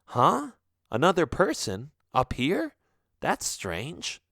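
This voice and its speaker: A man's voice: on the high side, wildly swooping pitch, slow, vocal fry, a bit bright, no room sound, studio quality, pitched above the performer's own voice, heavily acted. A man reading a story aloud, voicing a vain, scornful teenage girl who mocks and accuses in a raised voice. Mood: grumbling. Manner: mocking, accusing.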